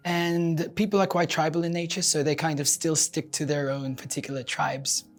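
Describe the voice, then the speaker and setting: Very low-voiced woman. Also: flat delivery, normal speed, faint music behind. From Russia. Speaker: interviewee. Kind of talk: reflective casual talk.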